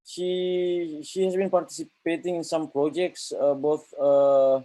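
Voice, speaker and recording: A man with an Indonesian accent informally introducing an online talk as host, speaking haltingly as he searches for words. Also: on the high side, little pitch movement, warm tone, no room sound, poor audio. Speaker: event host giving introductions.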